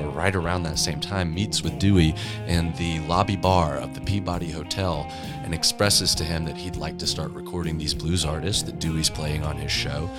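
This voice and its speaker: A man talking as a tour guide and music historian, telling stories conversationally in an interview. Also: low voice.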